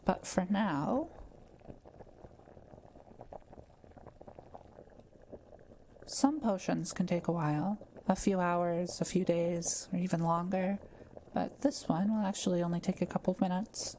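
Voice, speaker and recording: Kind forest witch for audio roleplay, woman performer: soft-spoken, patient explainer, very mellow with a gravelly creak. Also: on the low side, moderate pace, slight background noise, lightly acted, poor audio. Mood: patient, explanatory. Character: kind, quiet-living forest witch brewing potions.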